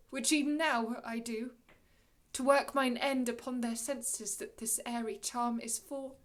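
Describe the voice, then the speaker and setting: Woman doing a solemn old sorcerer, shakespeare monologue, higher voice, really slow and dramatic, big pauses. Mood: grave renunciation. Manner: measured, dramatic, long pauses, swings from hushed to declaiming. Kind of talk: classical Shakespearean stage monologue.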